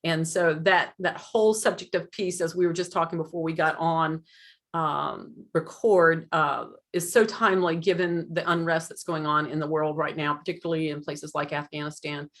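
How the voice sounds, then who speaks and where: Low-voiced woman hosting a video interview. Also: fairly even intonation, normal speed, fairly bright tone. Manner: friendly, chatty, builds long lead-in questions. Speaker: podcast host. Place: home room with a light blue wall. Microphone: video call webcam.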